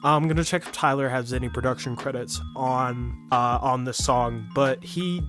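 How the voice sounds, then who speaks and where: A man reviewing an album in a casual, rambling way with lots of fillers, sounding a bit sick. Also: on the high side. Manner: rambling with many fillers and restarts. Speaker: music reviewer. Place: bedroom. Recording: music playing behind.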